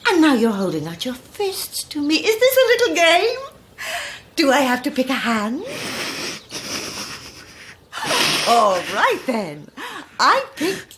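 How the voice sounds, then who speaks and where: Sinister older lady, swooping and slow. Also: high-pitched, huge pitch swings, background noise, exaggerated voice. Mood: playful menace. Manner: sing-song, gloating, patronising endearments. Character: sinister older woman captor, sweetly mocking, gleefully cruel. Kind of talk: immersive horror audio drama acting.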